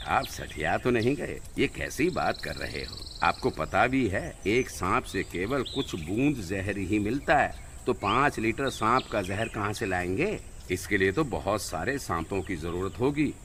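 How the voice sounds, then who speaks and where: A man's voice: fairly low pitch, lively intonation, a little slow, few pauses, a bit bright, slight background noise. A man playing scheming village poachers in a cartoon moral story, in dramatic dialogue. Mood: incredulous. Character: two scheming male village poachers, one bold, one fearful.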